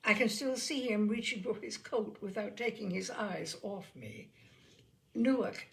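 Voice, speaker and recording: A woman reading a literary story aloud as an expressive narrator. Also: medium pitch, normal speed, vocal fry. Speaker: storyteller, reader.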